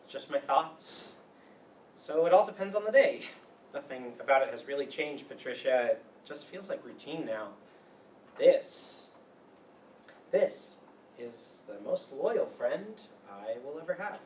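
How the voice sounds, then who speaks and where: A man's voice: mid-range voice, lively intonation, unhurried, darkish tone, natural voice. An actor playing a bitter, neurotic man with an old grudge in a staged play reading, wry and hesitant. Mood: weary. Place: meeting room used for a staged reading. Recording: roomy, distant mic, faint noise.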